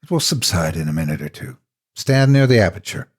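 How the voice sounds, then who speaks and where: A man's voice: deep voice, big pitch swings, brisk, husky, full character voice. A man voicing a grim, cryptic castle factor in a horror story, terse, cautious and ominous. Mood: hushed, ominous. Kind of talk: horror audiobook character acting.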